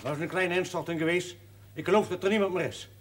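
An actor in a television drama playing a hurried mine subordinate, reporting in a clipped, factual way. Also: male voice, sits high, close to an everyday voice. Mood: urgent.

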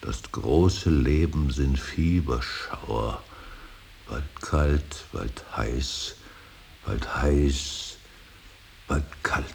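An actor playing an older, grieving father in a radio drama, brooding and solemn, with halting, weighty declamation that often sinks into breathy whispers. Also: extremely low pitch, exaggerated voice. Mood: hushed, musing. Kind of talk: German radio drama acting, solemn monologue. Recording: hissy, faintly noisy radio recording.

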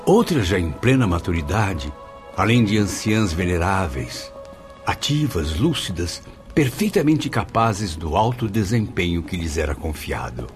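A man's voice: deep voice, big pitch swings, a little slow, faint music behind. A man playing an earnest, dramatic spirit of a suicide who narrates his afterlife in a radio drama. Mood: admiring, reflective. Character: anguished, curious male suicide soul narrating his afterlife.

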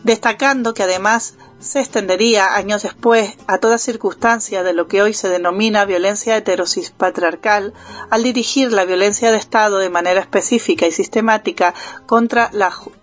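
A woman with a mid-range voice reads a prepared radio column in a steady, academic manner. She now and then stops to correct a word. Soft music plays faintly behind her. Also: mid-range voice. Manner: measured, academic delivery with small self-corrections.

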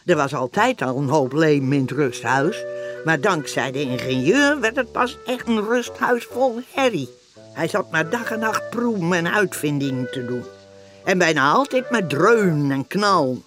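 A man's voice: a little high, very animated, measured pace, music in the background. A man acting as the storyteller of a comic children's radio play, in scripted narration. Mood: narrating.

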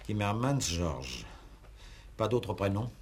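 An actor playing a stern, impatient examining magistrate in a 1960s radio play, curt and condescending. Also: male voice, fairly low pitch, sing-song, takes it slowly, slight background noise. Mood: brisk, formal. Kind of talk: 1960s radio drama acting.

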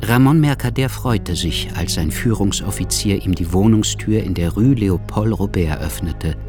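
A man's voice: low voice, moderate pace. A man narrating a scripted radio drama as a storyteller. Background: music.

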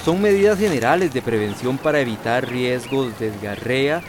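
An actor playing an earnest, supportive health worker, businesslike and respectful, in a radio soap opera. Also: male voice, mid-range voice, expressive, moderate pace, noisy background, close to an everyday voice.

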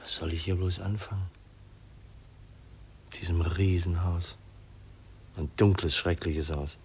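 An actor playing a shy, awkward young heir in a 1950s radio drama, speaking hesitantly and politely. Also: male voice, deep voice, steady tone, a little mellow, slightly rough, heavily acted. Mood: lonely, oppressed. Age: young adult. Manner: hesitant, halting, polite, murmuring inner monologue.

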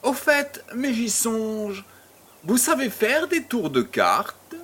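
A man's voice: extremely high pitch, huge pitch swings, slow pace, a bit bright, husky, full character voice. A man playing a worldly, patronising baron in a French audio drama, with a whimsical drawl. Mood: breezy, amused. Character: worldly male baron, suave, breezy and patronising.

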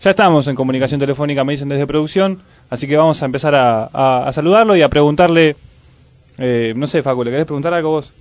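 A man hosting a live radio show in a casual, halting, improvised way. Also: a little high, no room sound. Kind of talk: live on-air hosting, casual with hesitant fillers.

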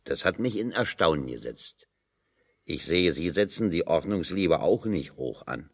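A man's voice: deep voice, expressive, a little slow, husky, full character voice. An actor playing a sardonic, dry-witted working man in German radio drama dialogue, speaking colloquially and ironically. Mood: dry, anecdotal.

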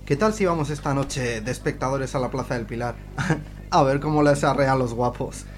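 A man's voice: mid-range voice, moderate pace, slight background noise, natural voice. A man playing an eager, fawning grandson with a mean streak in a comic radio serial. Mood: sly. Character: eager, mean-spirited grandson who idolises his grandpa.

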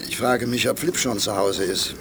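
A man's voice: fairly low pitch, fairly even intonation, normal speed, background noise, natural voice. A man playing a blunt, sceptical astronaut sidekick in a 1980s German radio play, with a colloquial way of talking. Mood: gloomy, wry.

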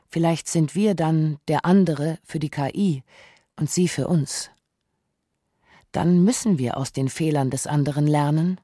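Mellow, reflective radio narrator, closely recorded. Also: medium pitch, no echo, clean studio sound. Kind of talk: scripted literary radio essay narration.

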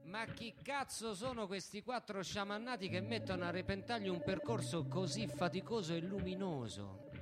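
A man's voice: sits high, animated, moderate pace, loud music behind the voice. An actor playing a scornful, indignant male party politician, sweary and rhetorical, in radio theatre.

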